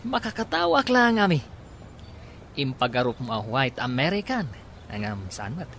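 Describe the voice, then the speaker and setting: Brash, jealous young Filipino mocker, radio serial; swooping, bright voice over faint noise. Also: male voice, a little high, very animated, a little slow, full character voice. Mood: mocking, teasing. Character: brash, mocking young man, jealous and teasing. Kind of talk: Ilocano radio drama acting.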